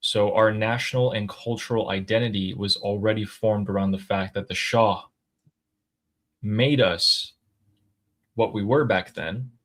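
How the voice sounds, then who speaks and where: A man co-hosting a live stream, reading viewer messages aloud and thinking out loud in a casual, chatty way. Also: lowish voice, steady tone, a little slow. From United States. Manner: chatty, thinks aloud with fillers and throat clearing. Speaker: co-host reading viewer super chats.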